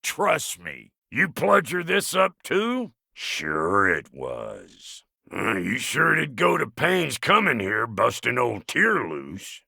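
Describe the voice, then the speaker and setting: Gruff dwarf smith, very gravelly rasp, slow folksy drawling delivery, foul-mouthed swagger, video game character, close dry recording. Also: male voice, medium pitch, huge pitch swings, bright, forceful tone, dry recording, heavily acted. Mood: sly, grumbling. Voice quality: gravelly, raspy. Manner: folksy rustic slang, colorful insults, swaggering.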